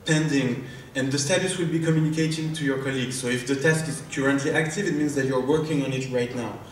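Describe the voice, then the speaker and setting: A man's voice: mid-range voice, fast. A man with a French accent, a startup founder giving a live product demo on stage, hesitant, with many fillers and restarts. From France. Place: large conference hall. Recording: echoey hall from far off, faint noise.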